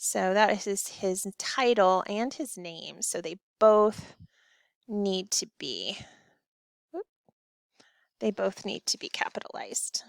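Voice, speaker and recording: A woman's voice: mid-range voice, expressive, slow pace, gravelly, pauses often, no echo. A woman teacher giving children step-by-step instructions in a recorded lesson. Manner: addresses children directly with simple step-by-step directions. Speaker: elementary school teacher.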